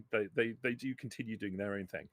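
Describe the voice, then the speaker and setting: A man's voice: fairly low pitch, fairly quick, a bit bright, no echo. Dry, close-sounding man running a game. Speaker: game master. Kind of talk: casual tabletop game narration.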